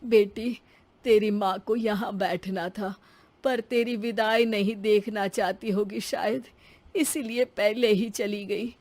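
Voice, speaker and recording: A woman's voice: mid-range voice, fairly even intonation, slow, darkish tone, dry recording. A woman voicing a humble, anxious middle-aged father of a bride in a moral story, pleading and deferential. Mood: tender, humble. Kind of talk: acted Hindi moral story.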